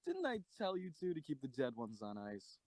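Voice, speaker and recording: A man's voice: mid-range voice, wildly swooping pitch, normal speed, darkish tone, no room sound. A man acting a cruel, mocking, foul-mouthed soldier executioner in an audio drama, taunting and theatrical. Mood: irritated.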